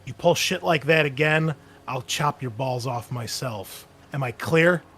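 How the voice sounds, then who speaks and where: A man's voice: mid-range voice, a little slow, a bit bright, slight background noise. A man voicing a stern, harsh female marine captain who barks threats. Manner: barking threats.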